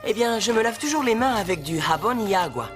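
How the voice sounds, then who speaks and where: Reassuring cartoon handyman, voiced by an actor: patient, instructive, mid-pitched, with music underneath. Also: animated, brisk, natural voice. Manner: reassuring, instructive, sprinkles in Spanish words. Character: kind, patient handyman teaching children about safety. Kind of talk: children's cartoon dubbing. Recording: music behind the voice.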